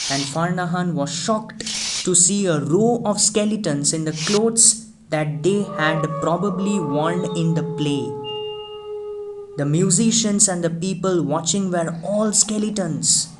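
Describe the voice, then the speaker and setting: A storyteller reading a scripted ghost story in a dramatic, deliberate manner. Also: medium pitch, low-quality recording. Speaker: storyteller, audiobook reader. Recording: music behind the voice. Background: music.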